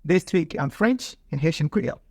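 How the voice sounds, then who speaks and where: A man with a fairly high voice talks earnestly as a guest, halting now and then to find his words. His is Ndau. The recording is dry and close, with no room sound. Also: lively intonation, darkish tone, no room sound. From Mozambique. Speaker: guest, farmer and peace builder. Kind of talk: casual interview talk.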